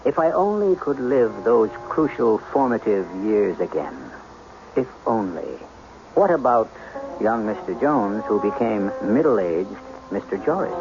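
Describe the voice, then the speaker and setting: A man hosting an old-time radio drama, narrating theatrically in a portentous, deliberate, rhetorical manner. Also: fairly low pitch, vocal fry. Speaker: host. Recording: dull old radio recording.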